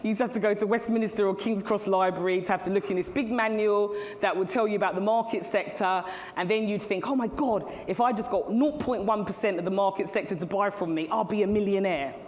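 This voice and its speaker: A woman's voice: medium pitch, brisk, hardly any pauses, darkish tone. Woman giving a chatty keynote. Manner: chatty, laughs at own asides. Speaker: keynote speaker. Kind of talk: live conference keynote. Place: large hall at a tech event. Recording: long hall reverb, faint ambience.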